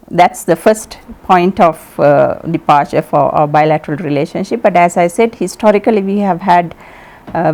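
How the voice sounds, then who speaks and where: A woman whose first language is Sinhala, from Sri Lanka, giving measured, diplomatic interview answers with frequent pauses. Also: on the low side, darkish tone. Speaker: ambassador being interviewed.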